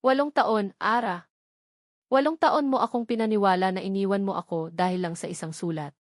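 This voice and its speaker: A woman's voice: mid-range voice, normal speed, no echo, lightly acted. An anguished male doctor from a romance audio story, voiced by a woman narrator. He speaks as a betrayed lover, wounded and angry. The recording is close and dry. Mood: bitter, angry.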